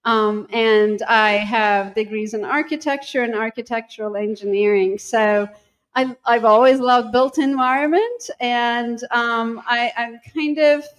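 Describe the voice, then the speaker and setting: A woman's voice: a little high, steady tone, measured pace. A middle-aged woman with a Turkish accent, a university dean talking casually in an interview, thoughtful and hesitant with many ums and restarts. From Turkey.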